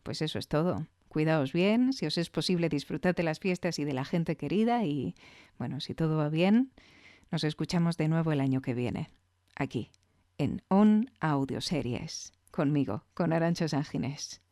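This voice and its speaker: A woman's voice: low voice, lively intonation, normal speed, warm tone, no echo. Spanish woman hosting, close dry sound. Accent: Spain. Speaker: podcast host. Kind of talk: conversational podcast commentary.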